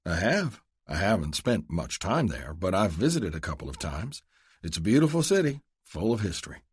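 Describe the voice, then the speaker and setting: A man's voice: deep voice, sing-song, normal speed, husky, no room sound, exaggerated voice. A man doing a dry, wry Western gunman. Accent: Missouri Ozarks. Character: worldly, guarded Western gunman.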